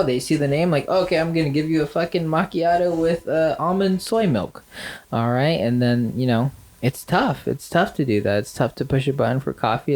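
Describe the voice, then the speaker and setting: A man's voice: medium pitch, darkish tone. Nasal man rambling sarcastically. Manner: rambling, sarcastic, lots of fillers. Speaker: podcast co-host. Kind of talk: casual chat.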